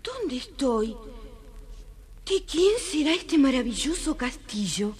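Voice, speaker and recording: A woman playing a sweet, gentle young heroine in a dramatized children's story, with a theatrical, wonder-struck way of speaking. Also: sits high, sing-song, slow pace, full character voice. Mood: tender, wondering. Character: sweet young fairy-tale heroine, gentle little sister.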